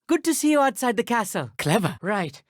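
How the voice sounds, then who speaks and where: An actor playing an earnest, bookish teenage schoolboy wizard in a video game, polite and a little nervous, with precise, slightly formal speech. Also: male voice, sits high, big pitch swings, fairly quick, no room sound, studio quality. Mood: earnest, friendly. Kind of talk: scripted video game dialogue.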